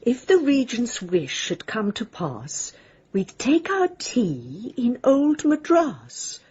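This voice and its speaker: A musing character in a radio drama, pondering each phrase. Her voice is high and very husky, with a soft, dark tone. The recording is slightly muffled. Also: huge pitch swings, full character voice. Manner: slow, pondering delivery.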